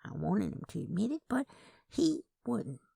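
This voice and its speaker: A woman voicing a frail, wistful twin aunt in her eighties with a soft, sing-song manner, in a Southern Gothic story. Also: mid-range voice, very animated, moderate pace, gravelly, breathy edge, dry recording, clean studio sound, voice pushed up, heavily acted. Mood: wistful, insistent. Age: 80s.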